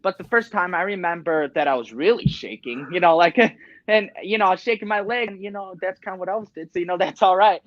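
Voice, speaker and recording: A man's voice: fairly quick, no room sound, muffled recording. A sixteen-year-old boy, a guest tribute artist, chatting casually with lots of "you know" fillers from a small home studio over a video call. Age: teens. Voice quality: high talking voice. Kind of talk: casual interview chat.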